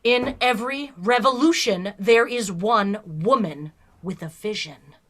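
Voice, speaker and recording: A woman's voice: medium pitch, a little slow, close to an everyday voice. A woman playing a bold, persuasive female starship captain in a fan audio drama, speaking with theatrical emphasis. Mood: impassioned. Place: remote virtual production.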